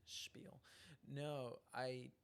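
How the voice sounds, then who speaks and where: A man's voice: medium pitch, level tone, very slow, vocal fry, clear, resonant tone. A man co-hosting a podcast in casual chat, with a nasal voice, telling stories animatedly and laughing a lot. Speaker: podcast co-host.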